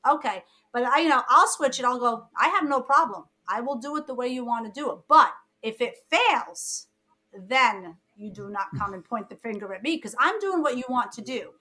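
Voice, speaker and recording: A woman's voice: fairly high pitch, moderate pace. A woman, a podcast guest telling stories about her life and acting out the lines of the people in them. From born in Egypt, raised in Brooklyn. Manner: lively storyteller who acts out quoted lines. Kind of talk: casual interview chat.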